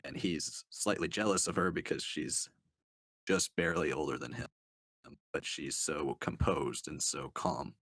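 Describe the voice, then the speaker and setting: Very deep-voiced man, hesitant book reviewer. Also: unhurried, a bit bright, dry recording. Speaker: podcast panelist reviewing books. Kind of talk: casual book review talk.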